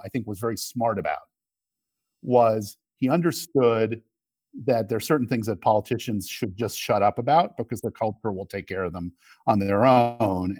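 Lower-pitched man, measured political analysis. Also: darkish tone, no echo. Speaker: interview guest, political commentator.

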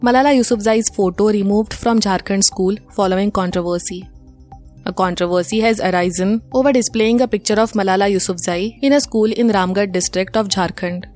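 A woman with an Indian accent presents a scripted news bulletin in a formal, measured manner. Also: medium pitch, darkish tone. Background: music under the voice.

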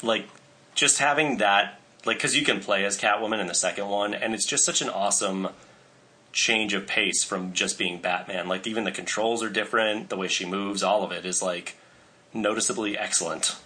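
A man's voice: fairly low pitch, steady tone, moderate pace, bright, forceful tone. A man co-hosting a podcast in casual chat, informative and enthusiastic, with many fillers. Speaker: podcast co-host presenting cast info.

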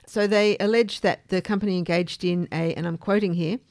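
A woman hosting a podcast, explaining things in a measured way with informal asides. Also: fairly low pitch, fairly even intonation, a little mellow, no echo. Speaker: podcast host.

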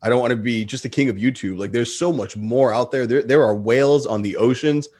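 A man's voice: medium pitch, a little fast, a little mellow, slightly rough. A man hosting a podcast in a casual, informal and affirming way. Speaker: podcast host. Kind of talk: casual chat.

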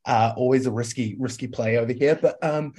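A man's voice: medium pitch, a little fast, dark tone. A man with a New Zealand accent in casual sports chat, speaking as a podcast panelist.

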